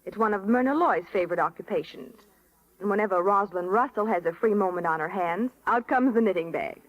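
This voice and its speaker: Casting: American female 1930s radio columnist, medium pitch, rehearsed chatty script, dull old recording. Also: brisk. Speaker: Hollywood reporter on radio. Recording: muffled 1930s radio broadcast.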